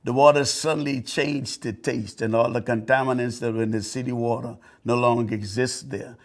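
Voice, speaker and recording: Older man from the Bahamas, low gravelly voice, flat and earnest, halting testimonial read. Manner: earnest, halting, with repeated words and restarts. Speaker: customer giving a testimonial. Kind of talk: unscripted testimonial.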